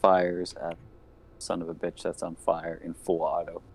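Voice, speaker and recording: A man playing a tabletop game, describing his character's actions in a low voice. He answers the game master in short, easy replies. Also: level tone, measured pace. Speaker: player. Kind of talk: casual chat at a game table.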